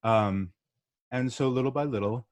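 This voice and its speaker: A middle-aged man from the northeastern US, a podcast guest telling his story in a relaxed, chatty way with frequent ums and uhs. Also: medium pitch, unhurried, no echo, studio quality. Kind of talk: casual interview storytelling.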